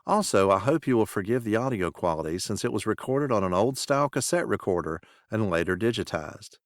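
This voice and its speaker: A man reading a scripted podcast introduction as host, in a measured, formal announcing manner. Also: lowish voice, animated, dry recording, studio quality.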